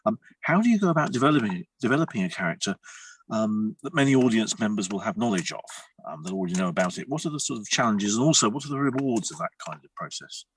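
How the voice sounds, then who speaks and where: A man's voice: lowish voice, very animated, quick pace, distant mic, slight background noise, low-quality recording. A male podcast host who encourages the guests and keeps agreeing with them. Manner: encouraging, many short agreements. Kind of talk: casual podcast chat.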